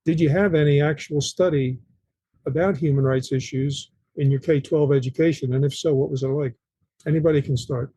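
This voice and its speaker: A man hosts a podcast panel with a husky, mellow voice that sits a little high for a man. He gives each guest a turn with brief, prompting questions. The sound is dry and close. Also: steady tone, a little fast, dry recording. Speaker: interviewer.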